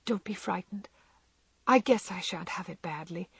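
Woman reading as a soft, timid young girl. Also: fairly high pitch, a little slow, slightly rough, dry recording, clean studio sound, pitched above the performer's own voice. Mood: sad. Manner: soft, often whispering. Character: gentle frail young girl, timid and loving.

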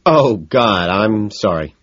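A man's voice: fairly low pitch, animated, slow, a bit bright, dry recording, highs cut off, voice pushed up, full character voice. A man voicing an anxious, self-absorbed young yuppie man with a nervous, emphatic delivery, in an audiobook. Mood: evasive.

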